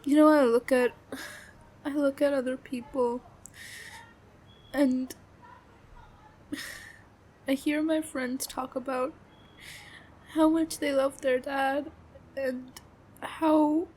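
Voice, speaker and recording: A woman playing a young adult best friend who speaks in a halting, subdued way with long pauses, venting about her family. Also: sits high, fairly even intonation, darkish tone, slight background noise. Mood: hurt. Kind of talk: audio roleplay acting.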